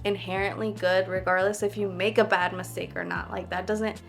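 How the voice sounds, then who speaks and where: Young woman, vlogger, earnest, filler-heavy casual talk, mid pitch, soft music bed. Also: flat delivery, normal speed, creaky. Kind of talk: casual reflective talk to camera.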